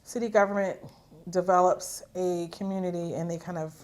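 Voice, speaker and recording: A woman's voice: fairly low pitch, fairly even intonation, normal speed. Chatty storytelling woman guest. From Philadelphia, USA. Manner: storytelling with fillers and acted-out quotes. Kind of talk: conversational interview.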